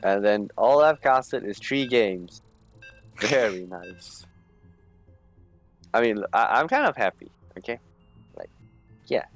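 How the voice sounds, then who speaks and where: A man's voice: on the low side, lively intonation, slow pace, long pauses, soft background music. A young man co-casting a live strategy game match, playful and rambling, often trailing off mid-sentence. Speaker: esports co-caster.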